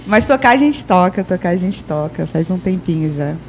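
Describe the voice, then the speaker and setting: A woman's voice: fairly low pitch, lively intonation, moderate pace, a little hiss or hum, poor audio. A young Brazilian woman chatting casually as a guest on a podcast. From Brazil, Mato Grosso do Sul. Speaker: guest, percussionist. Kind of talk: casual chat. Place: small wood-panelled TV studio, indoors. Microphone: handheld microphone.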